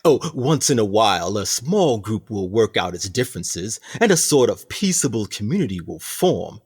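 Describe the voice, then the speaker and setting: A sinister sea-captain type from a radio play, acted out by a man, low and husky. He is elaborately courteous and menacing, swooping through his phrases and savoring each dramatic pause. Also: big pitch swings, exaggerated voice. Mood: smugly menacing. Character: sinister, theatrical male ship's captain running a prison colony.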